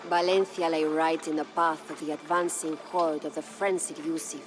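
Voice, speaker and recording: A woman's voice: deep voice, little pitch movement, moderate pace, close to an everyday voice. An actress playing a proud, grieving medieval Spanish noblewoman who narrates her story in a solemn, stately, formal way for a video game. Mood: somber, foreboding. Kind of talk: dramatic scripted storytelling. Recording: studio narration over prominent music.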